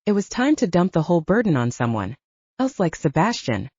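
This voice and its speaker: Lively, low-pitched woman narrating fiction. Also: a little fast, no echo, dull audio. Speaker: audiobook narrator. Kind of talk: dramatic scripted fiction narration.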